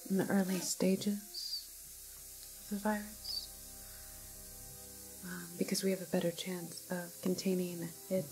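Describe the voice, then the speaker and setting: A woman doing an ASMR roleplay as a calm, apologetic doctor, speaking in whispers and hushed, halting explanations. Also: on the low side, soft background music, poor audio. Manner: hesitant, halting, gentle clinical explaining. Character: calm, apologetic female doctor in a quarantine facility. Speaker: ASMR performer playing a doctor.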